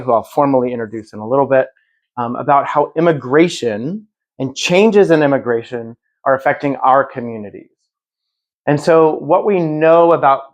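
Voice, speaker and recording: A man speaking as the moderator of a public event, giving a prepared introduction in an earnest, measured, explanatory way. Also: fairly high pitch.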